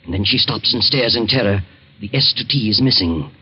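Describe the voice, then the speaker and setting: An actor playing an ominous narrator in a 1940s radio mystery, dramatic and suspenseful. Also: male voice, fairly low pitch, fairly quick, slight background noise, natural voice. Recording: dull old 1940s radio recording. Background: loud music.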